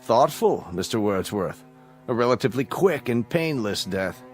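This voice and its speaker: A man's voice: mid-range voice, expressive, normal speed, soft background music, natural voice. Man playing a pompous, cold state chancellor. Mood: dismissive. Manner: pompous, condescending, oratorical. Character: smug, authoritarian state chancellor, cold and mocking. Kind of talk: radio drama acting.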